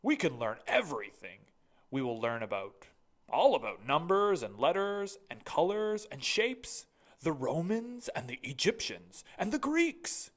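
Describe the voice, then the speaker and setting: Someone playing a booming, overenthusiastic male school principal. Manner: booming, grandly drawn-out exclamations.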